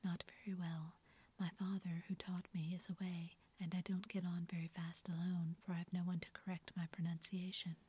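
A shy, gentle young woman speaks in a soft, breathy whisper, as a woman reads her part. Her voice sits low and stays even, timid and modest. This is a hushed storybook reading. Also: normal speed. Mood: bashful.

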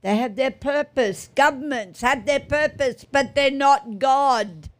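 A woman's voice: high voice, measured pace. An Australian woman co-hosting a faith talk show, speaking earnestly and repeating her points with emphasis. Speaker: co-host.

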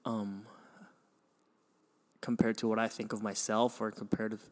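Young male podcast host, low and level voice, reflective and halting, from Venezuela. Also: little pitch movement. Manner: hesitant, many restarts and fillers, sighs. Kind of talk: reflective personal storytelling.

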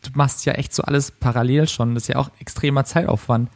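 A slightly hoarse German man hosting a casual interview, chatty and quick to laugh. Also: mid-range voice, steady tone, darkish tone, no room sound. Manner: fast, chatty, laughs often. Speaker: podcast host, musician.

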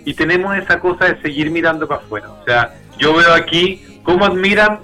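A man's voice: fairly high pitch, a little fast, pushed and bright, faint music behind, poor audio. A Chilean man from Santiago talking casually and candidly as a musician being interviewed, in a reflective, colloquial way.

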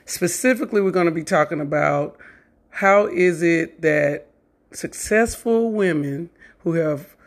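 Woman podcast host, upbeat, affirming, casual interview. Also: lowish voice. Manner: upbeat, affirming listener with quick okays.

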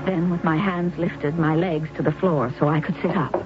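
A woman's voice: low voice, fairly even intonation, normal speed, close to an everyday voice. An actress playing a brave young woman in a 1970s radio drama, speaking in a resolute but strained way. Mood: narrating. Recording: muffled old radio broadcast.

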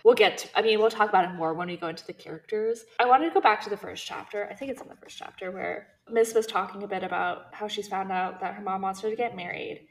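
A woman with a medium-pitched voice talks casually as the co-host of a book podcast. She is opinionated and emphatic, speaking in an informal, animated way. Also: quick pace, hardly any pauses, a little hiss or hum, low-quality recording.

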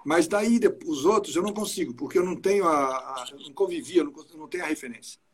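A man's voice: fairly high pitch, fast. A man from Espírito Santo with a capixaba accent, chatting casually over a video call and often repeating words as he starts a sentence. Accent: capixaba Portuguese. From Espírito Santo, Brazil.

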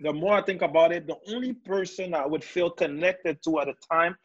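A man's voice: on the high side, brisk, fairly bright tone. A man in his thirties who did not grow up speaking English, reflecting in a casual video-call discussion with many fillers. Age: 30s. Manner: reflective, loose with fillers and repeats. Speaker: panelist. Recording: dull, dry video-call audio.

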